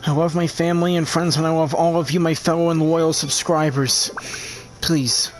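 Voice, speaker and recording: A man hosting a video channel, talking informally to subscribers in a halting, earnest way. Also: fairly high pitch, little pitch movement. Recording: faint background noise.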